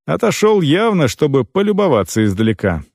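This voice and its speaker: A man reading a fantasy novel in the first person as an audiobook narrator, with an expressive, dramatic storytelling delivery. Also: a little high, brisk, husky, no echo, clean studio sound.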